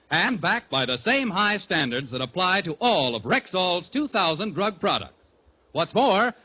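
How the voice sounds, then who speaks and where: A man announcing from a script in 1940s American network radio style. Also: sits high. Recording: dull 1940s radio broadcast recording.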